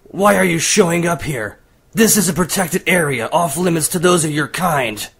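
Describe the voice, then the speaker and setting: A man voicing a calm, secretive water dragon demon who passes for a teenage boy, in an amateur audio drama. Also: fairly high pitch, normal speed, slightly rough. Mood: commanding.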